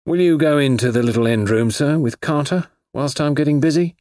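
A man voicing a polite, shrewd police inspector in an audiobook, genial and quietly amused, with a deferential, matey manner. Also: on the high side, fairly quick, no room sound, clean studio sound, pitched above the performer's own voice, natural voice. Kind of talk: audiobook character voice.

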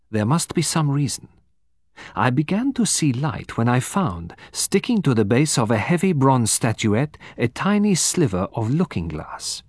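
A man playing a composed, formal detective from abroad, speaking deliberately with dramatic emphasis in an audiobook. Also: medium pitch, no echo, studio quality, voice pushed up. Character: composed, precise foreign male detective, quietly theatrical.

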